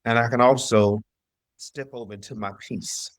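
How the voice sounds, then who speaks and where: A man's voice: medium pitch, fairly even intonation, moderate pace, dry recording, clean studio sound. A middle-aged man from the southern US telling his personal story as an interview guest, emphatic and repeating phrases as he voices his own past thoughts. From southern US (Mississippi, North Carolina).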